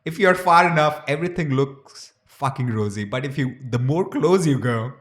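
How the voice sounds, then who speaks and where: A man's voice: mid-range voice, expressive, moderate pace, a bit bright, echoey room. A man co-hosting a podcast, blunt and frank in casual chat, swearing freely. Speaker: podcast co-host.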